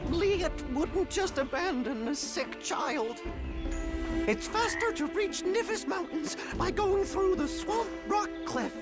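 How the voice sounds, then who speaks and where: A woman's voice: very high voice, expressive, slow pace, exaggerated voice. A woman voicing a small, caring female swamp creature healer in a video game cutscene. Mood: gentle, reassuring. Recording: loud music under the voice.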